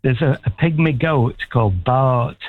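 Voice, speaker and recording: A sixty-year-old man from Manchester rings in to a radio show and rambles warmly about his life. His voice sits at an ordinary pitch. It comes through a thin, tinny phone line. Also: a little slow, dry recording. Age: 60s. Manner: warm, rambling, chatty. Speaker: caller. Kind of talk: casual phone-in chat. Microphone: telephone.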